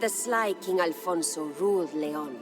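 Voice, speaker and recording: A proud, mourning Spanish noblewoman voiced by an actress tells a dramatic game story. Her voice sits on the low side, with formal, measured and solemn phrasing. Music plays clearly behind her. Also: lightly acted. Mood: solemn, storytelling. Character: proud grieving noble Spanish widow, lady of a castle. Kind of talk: dramatic scripted storytelling narration.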